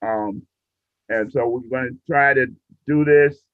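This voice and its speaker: A man's voice: mid-range voice, unhurried. A man instructing a class in a casual, patient, step-by-step way over a video call. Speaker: instructor leading a radio training class. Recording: muffled, roomy video call audio.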